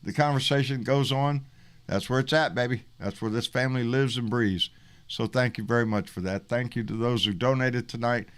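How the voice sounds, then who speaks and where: A man's voice: mid-range voice, normal speed, a bit bright, clean tone. A man hosting a casual live-stream, folksy and rambling, repeating his words. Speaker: host.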